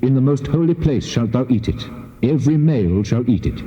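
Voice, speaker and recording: An actor playing the God of Israel in dramatised scripture, solemn, measured and commanding, with heavy reverb on his voice. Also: medium pitch, animated, warm tone. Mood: solemn, decreeing. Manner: solemn, measured, authoritative declaiming. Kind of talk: dramatised scripture reading in King James English. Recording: muffled tape, long cavernous reverb.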